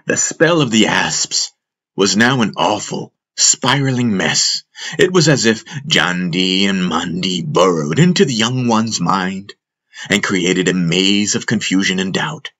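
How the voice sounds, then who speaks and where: A man narrating a fantasy audiobook as a dramatic storyteller, solemn and deliberate. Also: deep voice, animated, sharp tone.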